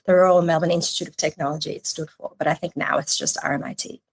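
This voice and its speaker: A woman in her forties, from Iran, telling her story as a podcast guest, lively and quick to restart her sentences. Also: sits low, creaky, slightly rough. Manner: animated storytelling with restarts and casual swearing. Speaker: podcast guest, agency creative chief. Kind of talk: casual interview chat.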